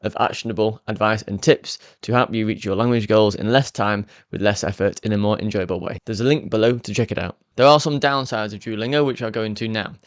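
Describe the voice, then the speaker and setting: A man, hosting a language learning channel and explaining step by step in a brisk, upbeat way. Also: lowish voice, steady tone, dry recording. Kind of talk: explainer talking to camera.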